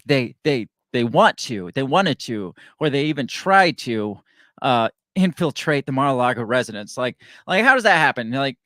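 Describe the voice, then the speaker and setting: A young man with a slightly raised pitch talks through the news in a loose, sarcastic ramble, stopping and restarting often. His voice sounds dry and close, with no room sound around it. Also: fairly bright tone, dry recording. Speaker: host of a political commentary show. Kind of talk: casual political commentary, reading news aloud.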